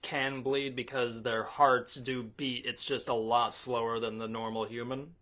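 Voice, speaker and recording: A man's voice: medium pitch, little pitch movement, measured pace, a bit bright. A man on a podcast panel, explaining things in a casual, chatty way.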